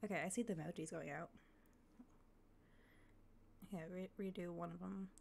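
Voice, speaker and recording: A woman chats through a live game stream, her voice a little raspy from a coming cold. She rambles with fillers and thanks followers as they come in. Faint computer noise and keyboard clicks sit behind her. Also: fairly low pitch, steady tone, moderate pace. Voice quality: slightly raspy. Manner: chatty, thanks followers, thinks aloud with fillers. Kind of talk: casual live-stream chat.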